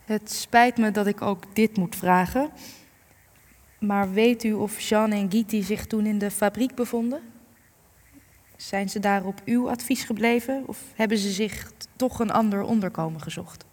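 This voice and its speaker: Woman acting young interviewer lady, earnest and polite, gently probing, mid-pitched, radio drama, lots of reverb. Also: moderate pace, natural voice. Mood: careful, polite. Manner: polite, careful, questioning. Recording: long hall-like reverb.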